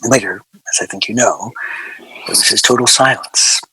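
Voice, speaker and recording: An actor playing a wistful, aggrieved man who reminisces in a reflective, measured way, as if interviewed for a radio drama. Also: lowish voice, pushed and bright, slight background noise, low-quality recording. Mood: regretful. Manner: reflective, measured, rhetorical questioning. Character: wistful, aggrieved man recalling a soured musical collaboration. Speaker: interview guest. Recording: music playing behind the voice. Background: music.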